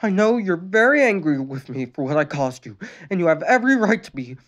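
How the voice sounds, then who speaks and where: A man's voice: high-pitched, sing-song, fairly quick, a bit bright, pitched above the performer's own voice. A man doing a tearful, pleading teenage girl.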